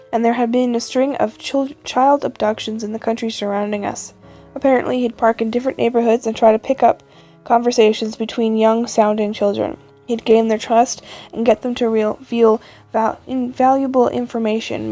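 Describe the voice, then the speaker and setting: Higher-voiced woman telling a scary story. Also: a little mellow. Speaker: storyteller narrator. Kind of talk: narrated first-person scary story, conversational.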